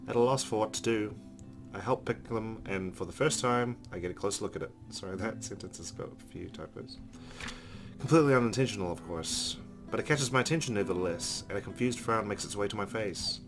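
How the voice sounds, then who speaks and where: A man hosting a let's play, reading a visual novel's narration aloud in an expressive storytelling way. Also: lowish voice, fairly quick. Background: background music with guitar.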